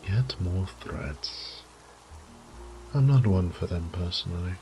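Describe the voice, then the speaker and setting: A man playing a sadistic, condescending vampire lord in a roleplay audio drama, theatrical and taunting, with breathy whispered phrases. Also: sits low, lively intonation, slow, music in the background. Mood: mocking, menacing.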